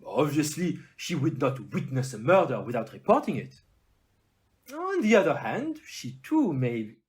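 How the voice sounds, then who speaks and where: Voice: mid-range voice, big pitch swings, measured pace, very noisy. An actor playing a courteous, self-assured detective in an audio play, with a put-on French accent and a formal, theatrical manner. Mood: thoughtful.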